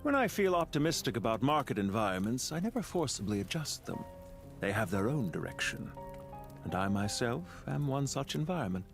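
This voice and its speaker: An actor playing a proud, composed, calculating magnate in a video game, with a dignified, deliberate and formal delivery. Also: male voice, mid-range voice, big pitch swings, gravelly. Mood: calm farewell. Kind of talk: scripted video game voice acting. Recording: music under the voice.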